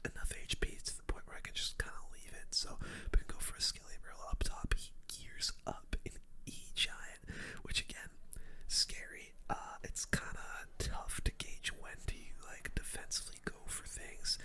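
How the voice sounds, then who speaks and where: Soft breathy whisper, ASMR gaming host, casual slangy play-by-play, fully unvoiced. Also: normal speed. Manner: casual gamer slang, addressing viewers as boys and guys. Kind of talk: whispered ASMR game commentary.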